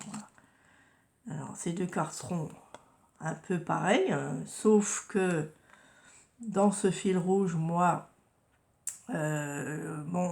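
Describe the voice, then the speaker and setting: Lady with a cold, low gravelly voice, lots of euhs, chatting about crafts. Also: darkish tone. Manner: hesitant, many fillers and restarts. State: stuffy with a cold and sore throat. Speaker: craft channel host. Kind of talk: casual crafting vlog talk.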